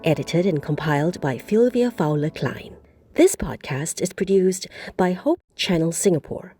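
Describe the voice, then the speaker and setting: A woman's voice: on the low side, sing-song, a little slow, dark tone, husky, no echo. A woman reading a scripted closing announcement for a podcast. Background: music.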